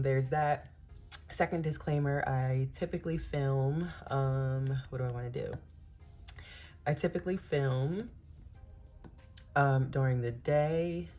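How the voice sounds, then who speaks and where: A woman's voice: rumbling low, a little slow, long pauses, dark tone, faint music behind. A woman in her thirties hosting a planning channel, chatting casually with many ums while she works, a little stuffy from a cold. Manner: chatty, many ums, Southern-style "y'all".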